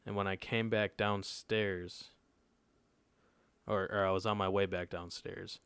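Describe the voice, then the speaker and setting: A man's voice: low voice, flat delivery, measured pace, gravelly, fairly bright tone, clear, resonant tone, dry recording. A nineteen-year-old man telling a personal story in casual podcast chat, laid-back and often checking in with his listeners. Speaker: podcast co-host.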